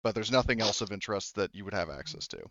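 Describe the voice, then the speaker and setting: A man running a tabletop roleplaying game as game master, explaining the story in a halting way, often repeating and rephrasing mid-sentence. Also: lowish voice, expressive, a bit bright, no echo, muffled recording.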